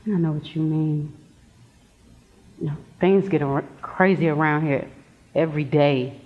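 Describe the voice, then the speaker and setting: A woman's voice: low-pitched, steady tone, measured pace. Woman playing a slangy teenage girl, dull recording. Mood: downcast, searching. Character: Shanae, street-talking, possessive teenage girl best friend. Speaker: stage actor. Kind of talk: stage play acting.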